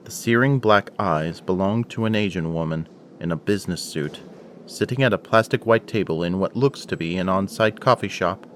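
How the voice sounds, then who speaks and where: Deep-voiced man narrating an audio drama. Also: normal speed, fairly bright tone, a little hiss or hum. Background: prominent music.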